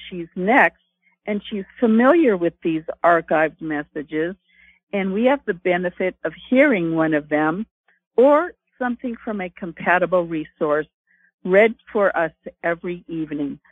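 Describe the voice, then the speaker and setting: A woman's voice: lowish voice, animated, measured pace, slightly rough, dry recording. A woman hosting a group call over the telephone and introducing the next reader. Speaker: call host. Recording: thin, muffled phone-line audio.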